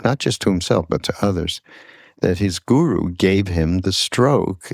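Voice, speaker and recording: An older man telling reflective stories as a podcast host, haltingly, searching for his words. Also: low-pitched, lively intonation, creaky, dry recording, clean studio sound. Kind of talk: reflective conversational storytelling.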